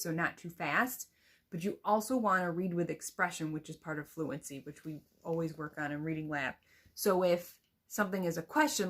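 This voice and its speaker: A woman teacher giving an instructional talk on reading aloud, explaining things and often checking in with a short question. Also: lowish voice. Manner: explanatory, checks understanding with "right?".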